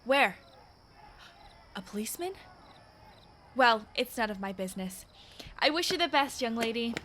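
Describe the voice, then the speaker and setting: A woman's voice: on the high side, expressive, normal speed, sharp tone, a little hiss or hum. An actress playing a nosy, opinionated married woman in a radio play, speaking emphatically with swooping exclamations. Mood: cautioning.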